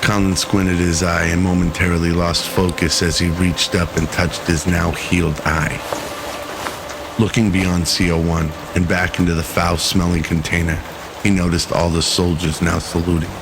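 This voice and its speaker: A man narrating a scripted radio drama in a measured, solemn storytelling manner. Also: low voice, little pitch movement, creaky, background noise.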